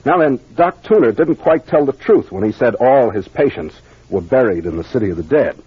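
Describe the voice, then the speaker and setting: Confident detective captain, American, 1940s radio mystery style, animated pitch, muffled old recording. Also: male voice, slightly rough. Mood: assured, explanatory. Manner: deliberate, authoritative, explanatory. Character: confident young male detective captain explaining a case. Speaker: actor playing the investigator.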